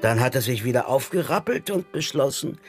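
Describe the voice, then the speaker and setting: An actor playing a serious man giving a measured, sober account in a radio drama. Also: medium pitch, lively intonation, soft background music, close to an everyday voice. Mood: sober, reflective. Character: sober male informant recounting a comrade's disillusionment.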